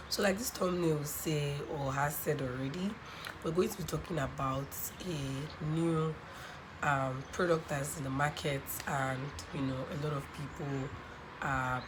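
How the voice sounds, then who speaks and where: A woman from Nigeria with a croaky voice from a cold, chatting casually on camera about a skincare product and often adding "um" and "okay". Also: low-pitched, a little slow. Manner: chatty, many ums and okays. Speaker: skincare vlogger. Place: home room by a sunny window near a road. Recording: clear traffic noise behind. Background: passing cars.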